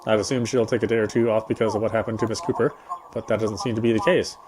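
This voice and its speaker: A man reading a visual novel's story narration aloud as a gameplay streamer, in a measured way. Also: lowish voice, fairly even intonation, background noise.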